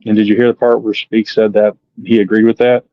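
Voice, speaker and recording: A man on a live debate panel who explains his points patiently, with casual fillers. His voice is fairly low, and it comes through a dull, lo-fi microphone with a dry sound. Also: flat delivery, fairly quick, no room sound. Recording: dull lo-fi mic.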